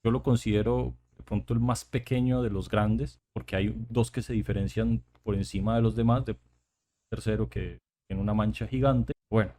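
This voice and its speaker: A man from Colombia with a Colombian accent, hosting a podcast in a relaxed, chatty way. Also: fairly low pitch, moderate pace. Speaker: podcast host. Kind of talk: casual podcast chat.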